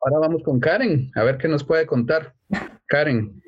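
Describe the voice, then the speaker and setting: A man's voice: fairly high pitch, normal speed, husky, muffled recording. A man hosting a casual panel chat in a jovial, teasing way. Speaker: host.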